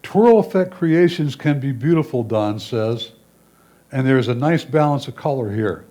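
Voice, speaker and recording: A man with a medium-pitched voice reads judges' written comments aloud. He works through each critique as a designated reader at a photo competition. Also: lively intonation, normal speed, mellow.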